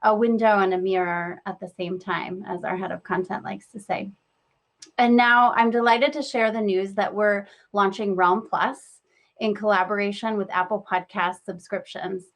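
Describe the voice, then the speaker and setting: A woman's voice: mid-range voice, fairly even intonation, normal speed. A woman speaking as a company executive, presenting a scripted promotional announcement.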